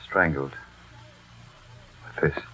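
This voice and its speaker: An actor playing a stern, decisive army major in an old radio drama, grim and authoritative in short, clipped phrases. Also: male voice, slow pace, a bit bright. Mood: somber. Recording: tinny, band-limited old radio recording.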